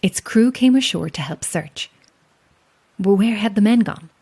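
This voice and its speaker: A solitary Irish lighthouse keeper in an audio horror drama. She is calm and watchful, with a dry wit and a measured, understated delivery. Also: medium pitch, slightly rough. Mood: hushed, ominous. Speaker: lighthouse keeper and narrator of a tale.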